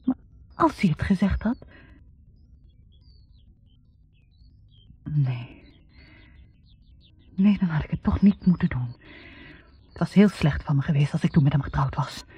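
An actress playing a bitter, reflective young woman academic in a 1960s radio drama, speaking in a halting, confessional way. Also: low-pitched, animated, warm tone, slightly rough, no room sound, soft background music, full character voice. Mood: bitter, torn. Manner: halting, confessional, repeating words. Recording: muffled 1960s radio recording.

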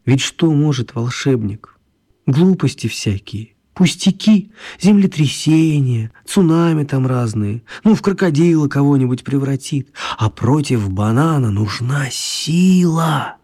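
A man narrating a radio play for children in a wry, storytelling manner, with a husky voice. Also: mid-range voice, expressive, unhurried, dark tone.

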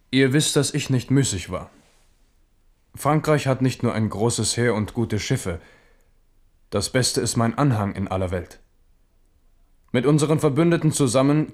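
A shrewd, self-assured king acted by a man in a historical radio play. He speaks in a medium-pitched male voice with measured, stately declamation, calm and resolute. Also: close to an everyday voice. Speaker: actor. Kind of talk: German radio play acting.

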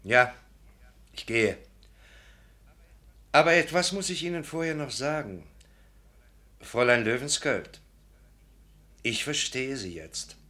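An actor playing a contrite, self-reproaching gentleman suitor in a literary radio drama, with a formal, earnest, emphatic way of speaking. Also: medium pitch, lively intonation, slow pace, long pauses.

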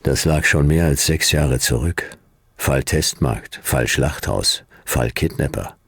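An actor playing a laconic, dryly ironic private detective in a radio drama, terse and deadpan. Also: male voice, takes it slowly, heavily acted. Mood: terse narration. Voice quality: deep, gravelly. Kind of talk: noir radio drama narration and dialogue.

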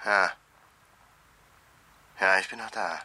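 Desperate guilty husband, old german radio thriller, really piercing tense voice, bit raspy, urgent. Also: male voice, low-pitched, takes it slowly, sharp tone, full character voice, poor audio. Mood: shaken, subdued. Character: guilty, desperate husband urging his wife.

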